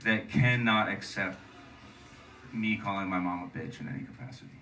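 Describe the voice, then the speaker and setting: A young man co-hosting a live podcast on a club stage with a handheld mic, rambling through stories and swinging between loud and soft. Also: fairly low pitch, normal speed, far from the mic. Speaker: podcast co-host. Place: comedy club stage before an audience.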